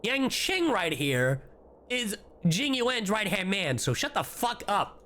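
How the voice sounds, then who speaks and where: A man's voice: high voice, sing-song, slow pace, bright, forceful tone. A man livestreaming a video game with exclamatory, mock-confrontational, sweary reactions. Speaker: livestreamer, VTuber. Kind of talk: live game stream reaction commentary.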